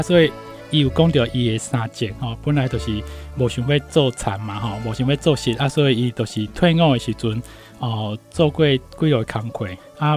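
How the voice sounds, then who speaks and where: A man with a Taiwanese accent gives informal commentary, retelling and explaining a story with frequent tag particles at the ends of phrases. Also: medium pitch. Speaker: guest commentator, university professor.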